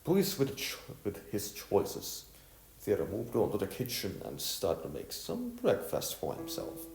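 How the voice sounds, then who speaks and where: A man reading scripted storybook narration in a halting way, stumbling over words and repeating them. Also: on the low side, huge pitch swings, gravelly, darkish tone. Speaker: narrator of an audiovisual novel. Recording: echoey room, music underneath.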